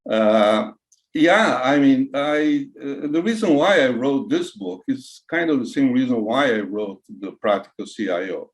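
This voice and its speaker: A Brazilian man in his sixties with a Brazilian Portuguese accent, a retired IT executive answering interview questions in a halting way, full of fillers and restarts. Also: mid-range voice, expressive. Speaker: guest, retired CIO.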